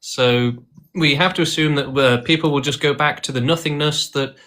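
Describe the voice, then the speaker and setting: A man's voice: on the high side, fairly even intonation, normal speed, a bit bright. A man hosting a live-streamed debate who calls guests liars bluntly, reads the chat and moves the show along in a combative, dismissive way. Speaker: livestream debate host.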